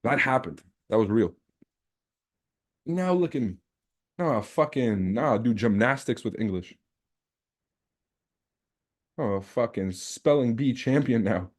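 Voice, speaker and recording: A man's voice: mid-range voice, animated, a little slow, pauses often. A man from Lebanon, a native Arabic and French speaker, hosting a livestream in casual, slangy, boastful chat peppered with swearing. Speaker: trading livestream host. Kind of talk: casual chat with live viewers.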